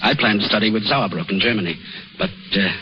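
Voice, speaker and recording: A man playing an anxious, humble, downcast doctor in a 1930s radio drama, speaking in a subdued, halting way. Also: lowish voice, natural voice. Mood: wistful. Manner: hesitant, subdued. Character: anxious, downcast doctor, humble, wistful former star pupil. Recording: dull, hissy 1930s radio recording.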